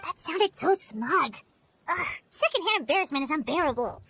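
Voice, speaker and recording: Squeaky, bright little fairy. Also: female voice, big pitch swings, normal speed, slight background noise, heavily acted. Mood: mocking. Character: tiny, cheeky, squeaky childlike fairy companion. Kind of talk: video game voice acting.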